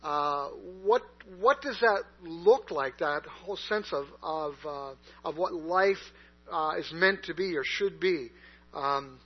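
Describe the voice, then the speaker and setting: Man, husky voice, a bit high, chatty sermon, dull lo-fi sound, mains hum. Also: slow. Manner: conversational, many fillers and restarts. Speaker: pastor.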